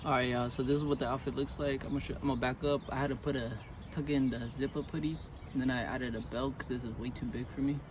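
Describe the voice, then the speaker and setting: A young man with a voice raspy from illness, chatting casually to viewers as he reviews and styles clothes at home. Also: mid-range voice, fairly even intonation, fast, background noise. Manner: laid-back slangy talk to viewers. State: raspy from being sick. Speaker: fashion vlogger.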